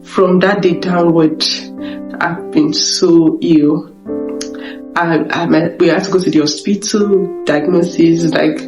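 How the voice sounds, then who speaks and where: Hoarse Nigerian woman, halting vlog in an echoey room. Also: lowish voice, fairly even intonation. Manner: halting, repeats words and restarts often. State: voice weak and off from illness. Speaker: vlogger. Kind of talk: personal confessional vlog. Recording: echoey room with guitar music behind.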